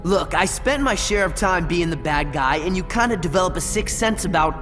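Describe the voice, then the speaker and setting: A man's voice: sits high, expressive, brisk, in a large hall. An actor playing a blunt, suspicious teenage boy who used to be a bad guy. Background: game music.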